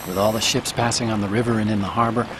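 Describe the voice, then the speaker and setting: An actor playing a young, fussy male computer hacker who talks quickly and a bit nervously while guiding a soldier. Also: on the low side, steady tone, noisy background, close to an everyday voice. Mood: reassuring. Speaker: mission support. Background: music and rain.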